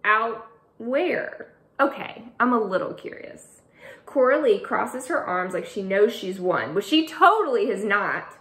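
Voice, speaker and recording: A woman author reading her middle grade novel aloud, with an expressive storytelling delivery. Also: medium pitch, normal speed. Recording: echoey room.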